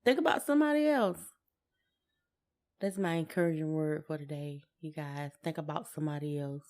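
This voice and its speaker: A woman's voice: low-pitched, normal speed, darkish tone. An American woman co-hosting a podcast, talking casually and colloquially, repeating words for emphasis. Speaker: podcast co-host.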